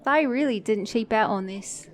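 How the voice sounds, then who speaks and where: A woman's voice: fairly high pitch, moderate pace, lightly acted. A woman voicing a flirty, sassy, caring mechanic in an intimate ASMR romance roleplay, teasing the listener as her date. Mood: nostalgic, chatty. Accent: British or Australian.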